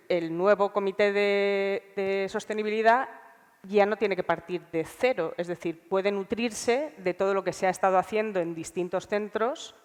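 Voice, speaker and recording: A woman with a Spanish accent from Spain, congested with a cold, gives informal panel remarks, hesitantly thinking aloud. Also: medium pitch. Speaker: research scientist, panelist. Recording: reverberant hall sound.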